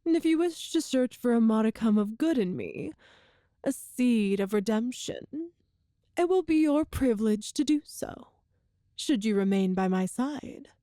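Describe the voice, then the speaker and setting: A woman playing a cruel, seductive evil empress in an ASMR roleplay drama, speaking with imperious, deliberate menace. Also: on the high side, expressive, warm tone, no room sound, studio quality. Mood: haughty persuasion.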